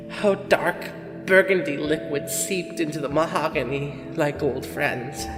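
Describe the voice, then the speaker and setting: A woman playing an elderly grandmother confessing as a killer in an audio drama, bitter and obsessive, with halting, dramatic delivery. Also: medium pitch. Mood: brooding. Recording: echoey long reverb with music behind.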